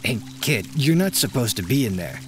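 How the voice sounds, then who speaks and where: A man's voice: mid-range voice, sing-song, moderate pace, lightly acted. A man acting a weary, exasperated sorcerer with a grudging, sighing delivery in a dramatised fantasy audiobook. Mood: stern. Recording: music behind the voice.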